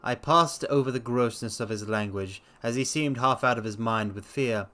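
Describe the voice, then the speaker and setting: A man voicing a sober Victorian doctor who recounts a strange incident in formal, measured storytelling. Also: medium pitch, pushed and bright, natural voice. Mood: sober, concerned. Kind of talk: in-character narration of a detective story.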